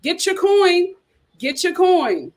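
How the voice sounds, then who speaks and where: Sassy high-voiced woman host. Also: takes it slowly. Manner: sassy, animated, talks straight to viewers. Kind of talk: casual reality TV commentary.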